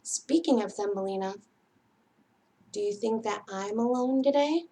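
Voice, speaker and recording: A woman with a high voice leads a children's story time. She takes her time, speaking very slowly in a sing-song manner. She addresses her young listeners directly, as a storyteller would. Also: mellow. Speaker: children's library storyteller and host.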